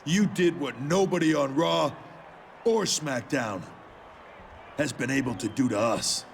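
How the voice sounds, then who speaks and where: A man voicing a deliberate, calculating, menacing wrestler in a video game cutscene. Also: mid-range voice, gravelly, a little hiss or hum. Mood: measured menace. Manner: deliberate, measured.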